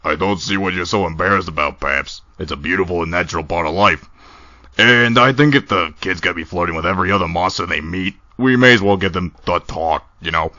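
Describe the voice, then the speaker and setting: A man's voice: low-pitched, fairly quick, sharp tone, muffled recording, a little hiss or hum. A man voicing a laid-back, teasing skeleton brother in a comic dub, talking in a casual, wry drawl. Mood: amused, explanatory. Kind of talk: animated comic dub acting.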